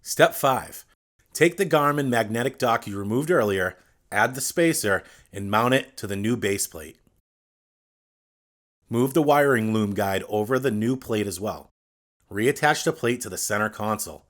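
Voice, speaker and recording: A man reading a scripted step-by-step tutorial, addressing the viewer directly in a clear instructional manner. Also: lowish voice, expressive, moderate pace, fairly bright tone. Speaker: instructional video narrator.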